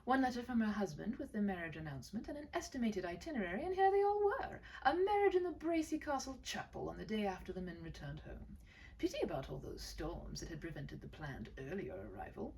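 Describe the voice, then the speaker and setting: A woman narrates a tender tale with lively, swooping intonation and a creaky edge. Her delivery is gentle and dramatic, recorded a little away from the microphone with noise behind her. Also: mid-range voice, fast, distant mic, low-quality recording. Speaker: storyteller reading own short story. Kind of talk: expressive scripted story narration.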